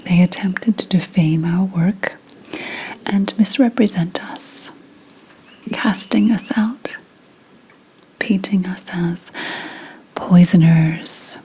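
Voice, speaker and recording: A woman playing a proud, secretive 17th-century witch healer, whispering slowly and formally in an ASMR roleplay. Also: on the low side, a little hiss or hum, poor audio. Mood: solemn, wounded pride. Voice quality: breathy unvoiced whisper. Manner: deliberate, hushed, archly formal phrasing.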